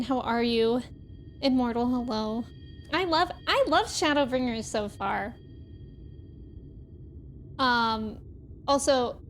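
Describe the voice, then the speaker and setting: A woman's voice: on the high side, slight background noise. A woman livestreaming a video game, chatting casually and expressively as she reacts and reads chat messages aloud.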